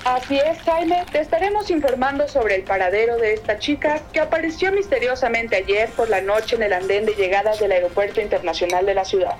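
A woman's voice: a little high, brisk, hardly any pauses, faint music behind, lightly acted. A woman playing a grave, formal television news reporter in an audio drama. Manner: formal, earnest. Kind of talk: newsreader delivery in audio drama.

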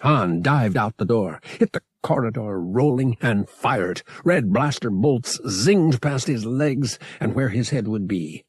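A man's voice: medium pitch, lively intonation, slow, few pauses, darkish tone, slightly rough, no room sound, studio quality. A man narrating action fiction as a dramatic scripted audiobook read.

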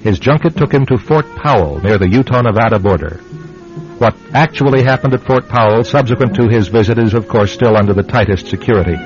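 A man narrating a radio drama from a script in a grave, measured, deliberate manner. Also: lowish voice. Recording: muffled vintage radio, music behind.

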